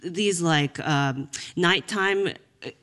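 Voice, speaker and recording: A woman's voice: fairly low pitch, fairly bright tone. Playful, rambling woman from Japan. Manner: playful, rambling, quick laughs. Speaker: comedian guest. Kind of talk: casual interview chat.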